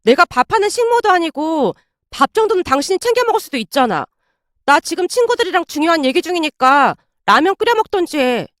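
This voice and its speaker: A woman narrator puts on a selfish young wife from a domestic story. She snaps and whines defiantly, in a high, irritable voice with a dry, close sound. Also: very animated, fairly quick, hardly any pauses, fairly bright tone, dry recording. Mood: irritated. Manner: snappy, whiny protest.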